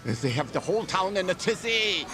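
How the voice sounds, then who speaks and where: An actor playing an excitable, polite foreign male restaurant boss in a video game, earnest and fretful. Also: high-pitched, normal speed. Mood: apologetic, worried. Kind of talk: video game cutscene acting.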